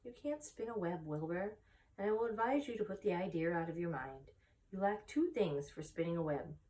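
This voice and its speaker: A woman's voice: on the low side, animated, moderate pace, dark tone, recorded from a distance, close to an everyday voice, low-quality recording. A woman voicing a wise, frank and kindly female spider from a storybook, explaining things in a matter-of-fact, instructive way.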